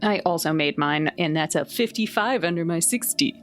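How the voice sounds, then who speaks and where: A woman's voice: lowish voice, animated, moderate pace, faint music behind. A woman playing a tabletop roleplaying game, joking casually in a wry way.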